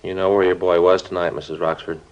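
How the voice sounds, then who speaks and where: An actor playing a grave, courteous American police detective in an old radio crime drama, speaking in a measured, understated way. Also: male voice, sits low, level tone, darkish tone, muffled recording, lightly acted. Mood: grave, gentle.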